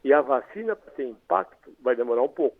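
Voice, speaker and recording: A man with a Brazilian Portuguese accent, an infectious disease doctor calmly explaining in a radio interview by phone. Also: medium pitch, fast, vocal fry. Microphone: telephone. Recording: tinny phone line.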